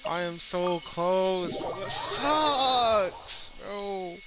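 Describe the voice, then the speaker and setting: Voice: medium pitch, lively intonation, drawn-out words, heavy background noise. A game streamer giving casual, slangy commentary, grumbling and often saying "bro". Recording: loud game music behind. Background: loud video game music.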